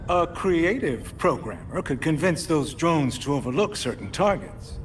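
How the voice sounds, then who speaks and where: Higher-pitched, measured tech mentor. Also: male voice, expressive, slight background noise. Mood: calm, instructive. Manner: measured, formal, precise. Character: calm, methodical male tech mentor briefing a hero. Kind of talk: video game mission briefing.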